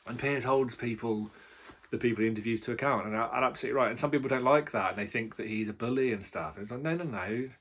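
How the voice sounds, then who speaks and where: A man's voice: medium pitch, quick pace, a bit bright, slightly rough. A British man giving a casual, opinionated book review in a rambling, emphatic and blunt way.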